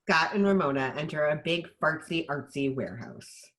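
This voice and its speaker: A narrator reading stage directions in an animated way for a screenplay table read. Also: medium pitch, normal speed.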